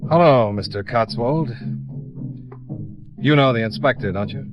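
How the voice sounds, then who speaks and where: An American man playing a terse, hard-boiled investigator in an old radio drama, dry and deliberate. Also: medium pitch, over music, close to an everyday voice. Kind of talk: 1950s radio narration and acting. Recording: dull old radio recording.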